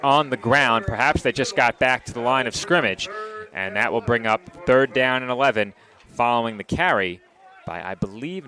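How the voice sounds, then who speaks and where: Play-by-play man on a headset: bright, cutting voice, brisk and exact, dry quips. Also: mid-range voice. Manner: brisk, precise, lightly wry. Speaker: play-by-play announcer. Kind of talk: live football play-by-play.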